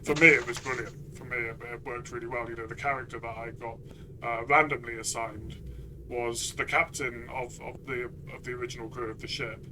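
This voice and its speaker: A man discussing a game conversationally as a player and reviewer, reflective and pausing mid-phrase. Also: mid-range voice, steady tone, noisy background.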